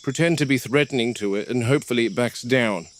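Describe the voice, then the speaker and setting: A man playing a weathered game warden who speaks tersely, quiet and subdued when upset. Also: medium pitch, fast talker, lightly acted. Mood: calm. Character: weathered male park game warden, grim, practical. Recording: noisy, with crickets and insects behind.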